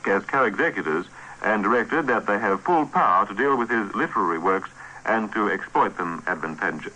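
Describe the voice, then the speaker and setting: Deepish male newsreader, formal, noisy old radio tape. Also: lively intonation, normal speed, sharp tone. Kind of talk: formal scripted news reading. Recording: noisy archive radio broadcast.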